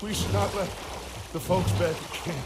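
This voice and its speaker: A man's voice: sits high, fairly even intonation, normal speed, over loud music. A man acting a weathered, sentimental middle-aged outlaw leader in a Western game, telling things theatrically. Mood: reassuring. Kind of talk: video game cutscene acting. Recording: clearly noisy, water and boat outdoors.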